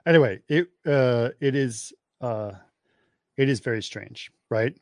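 A man talking casually about a film with a friend, in a halting, conversational way. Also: mid-range voice, dry recording, studio quality.

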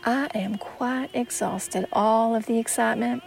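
A 1920s woman in an audio drama. Her voice sits a little high and breaks into creak, and she stays poised and guarded, polite yet curt, with defiance close by. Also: steady tone, a little slow, darkish tone. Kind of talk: period audio drama acting.

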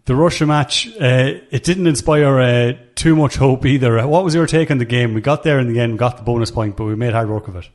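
A man hosts a sports podcast and asks long, chatty questions. He talks fast, at a middle pitch. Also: darkish tone. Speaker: podcast host. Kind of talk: podcast hosting and interviewing.

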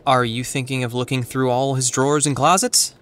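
A man's voice: mid-range voice, fairly even intonation, a little fast, a bit bright, smooth, close to an everyday voice. An actor playing a wry, protective young man in an audio drama, speaking in a sardonic, natural way. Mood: wry, cautious.

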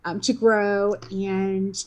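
A woman's voice: medium pitch, flat delivery, drawn-out words, a little mellow, clean tone, dull audio. A woman in her fifties, an interview guest recalling her youth in a casual, rambling way full of "you know" and "um". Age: 50s. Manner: rambling, many fillers and restarts.

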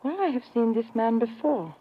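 An actress playing a suspicious, efficient sanatorium nurse, brisk and formal, in a 1950s radio drama. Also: female voice, fairly high pitch, expressive, husky. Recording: tinny narrow-band old radio.

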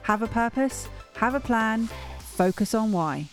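A woman's voice: fairly high pitch, lively intonation, unhurried, soft background music. A woman hosting a podcast, delivering a polished, upbeat scripted intro and then asking her guest questions. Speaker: podcast host.

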